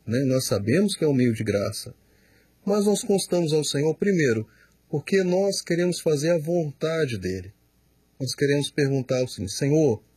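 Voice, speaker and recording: A man, a pastor, answering questions in a studio, slow and emphatic, often repeating words as he explains. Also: fairly high pitch, dark tone, breathy edge, dry recording. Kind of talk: studio Q&A teaching.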